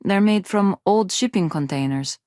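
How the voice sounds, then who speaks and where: An actress playing a shy, self-doubting young undergraduate from a poor space colony, with a hesitant, awkward manner, in video game dialogue. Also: female voice, medium pitch, moderate pace, a little mellow, no room sound, clean studio sound, natural voice. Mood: resigned, wistful. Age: young adult.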